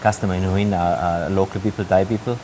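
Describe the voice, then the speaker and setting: Austrian-accented middle-aged man, very low voice, quick but halting delivery, hiss under the track. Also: steady tone. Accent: Austrian German. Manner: hesitant, many fillers and restarts. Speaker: hotel general manager. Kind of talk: interview answers.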